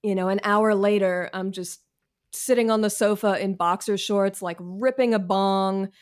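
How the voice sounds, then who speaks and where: A woman talks as a guest writer in a conversational interview. She is frank and quick to poke fun at herself, using plenty of casual slang. Her pitch sits in the middle for a woman. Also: fairly even intonation, smooth. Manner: candid, self-deprecating, slangy.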